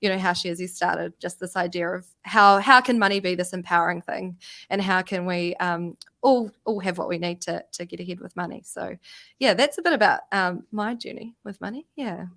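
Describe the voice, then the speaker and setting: A woman's voice: lowish voice, a little fast, gravelly, fairly bright tone. A woman with a New Zealand accent giving a casual, unscripted personal account as a panel guest, hesitating and repeating words. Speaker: panel guest, company co-founder.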